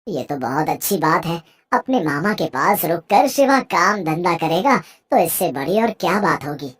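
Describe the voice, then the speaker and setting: An actor voicing a gentle old widowed village father in a cartoon moral story, mild, trusting and fatherly. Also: mid-range voice, expressive, normal speed, slightly rough. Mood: pleased. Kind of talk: animated Hindi moral story acting.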